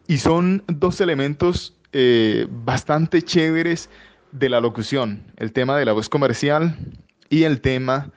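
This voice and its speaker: A man with a Colombian accent explaining things as an interview guest, halting and repeating for emphasis, with frequent tag questions. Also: mid-range voice. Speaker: interview guest, professional voice-over announcer. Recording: dull, lo-fi audio.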